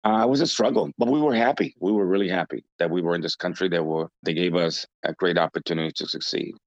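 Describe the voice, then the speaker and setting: Deep-voiced man in his fifties. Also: fast talker, no echo, dull audio. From Dominican Republic, raised in Massachusetts from age eight. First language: Spanish. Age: 50s. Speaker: interview guest. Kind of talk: conversational interview answers, personal reminiscence.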